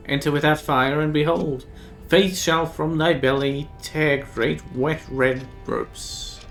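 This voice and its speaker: A man's voice: fairly high pitch, steady tone, takes it slowly, fairly bright tone, faint music behind. A man voicing a cryptic, fierce northern woman who speaks in old-fashioned thee and thou, reading a text game aloud on a live stream. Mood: ominous.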